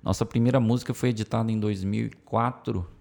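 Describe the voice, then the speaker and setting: A man from São Paulo state with a Brazilian Portuguese accent carrying a country twang, telling his story in a relaxed, casual interview. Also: on the low side, brisk, vocal fry. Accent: Brazilian Portuguese with a country twang picked up from the Center-West. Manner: storytelling, relaxed, many fillers. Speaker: music publisher guest.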